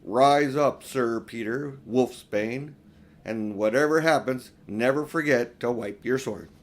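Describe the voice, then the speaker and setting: A man's voice: mid-range voice, takes it slowly, close to an everyday voice. A man voicing a majestic lion king in a storybook, speaking in a stately, formal and commanding manner. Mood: ceremonial.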